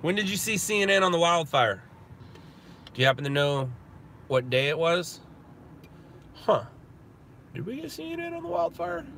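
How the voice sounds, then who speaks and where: A man's voice: a little high, big pitch swings, moderate pace, vocal fry, long pauses, a little hiss or hum. Stuffy-nosed man chatting in a car. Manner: rambling, answers chat questions out loud. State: stuffy nose, sniffling. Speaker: live stream host reading viewer chat. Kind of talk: casual chat. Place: inside a car while driving.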